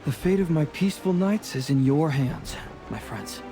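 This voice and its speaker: A man's voice: fairly high pitch, lively intonation, normal speed, music in the background, close to an everyday voice. A man voicing a roguish, charming, chatty sea adventurer in a fantasy game, with a breezy, self-assured way of talking. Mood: earnest, casual. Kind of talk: voiced dialogue in a fantasy game.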